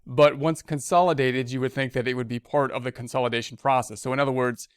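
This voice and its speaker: Clear-voiced man giving a tutorial. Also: medium pitch, steady tone, fast, no echo, clean studio sound. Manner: conversational, repeats and rephrases as he explains. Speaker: accounting instructor. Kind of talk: screencast tutorial, thinking aloud through a worksheet.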